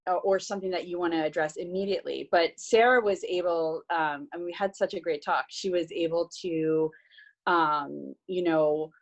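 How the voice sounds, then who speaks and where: A woman's voice: fairly low pitch, fairly even intonation, normal speed, lo-fi sound. A woman on a video call recounting a workshop conversation, earnest and hesitant with many restarts. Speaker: workshop participant. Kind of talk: casual recap on a video call.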